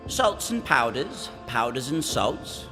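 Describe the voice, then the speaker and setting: A theatrical, flirtatious ghost, echoing. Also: male voice, mid-range voice, big pitch swings, takes it slowly. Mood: defensive, dismissive. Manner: theatrical, singsong, repeating phrases. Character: flirtatious, deluded, sickly ghost of a lovesick gentleman. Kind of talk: video game voice acting. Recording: long echoing reverb, music underneath.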